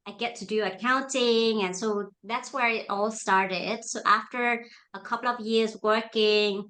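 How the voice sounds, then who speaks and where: A woman's voice: a little high, steady tone, measured pace, a bit bright. Chatty woman guest from Denmark. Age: 30s. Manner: chatty, tags lines with "right?" and "you know". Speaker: guest, leadership coach. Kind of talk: casual interview answers. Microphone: video call.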